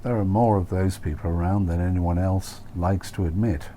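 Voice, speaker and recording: A man with a deep, mellow voice narrates a first-person story in a wry, dry manner, as an author reading his own book. There is faint noise behind him. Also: steady tone, moderate pace.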